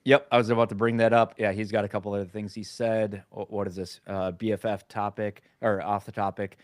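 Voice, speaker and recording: Podcast guy with a lowish voice, dry mic, tells stories with a million "likes". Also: fairly quick, no room sound. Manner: animated storytelling, full of "like". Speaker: podcast co-host. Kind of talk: casual panel chat.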